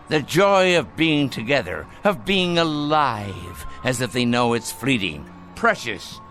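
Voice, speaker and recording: Bitter dying patriarch: elderly man, sardonic and theatrical, widely swinging intonation, forceful bright tone, radio play. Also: medium pitch, full character voice. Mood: mocking. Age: older. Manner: theatrical, scornful, deliberate speech. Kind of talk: dramatic radio play acting.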